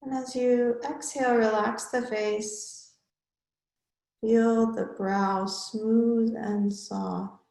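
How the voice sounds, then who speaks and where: A woman yoga teacher leading a guided relaxation in measured, instructional short phrases with pauses between them. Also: a little high, flat delivery, vocal fry, mellow, reverberant, rough recording.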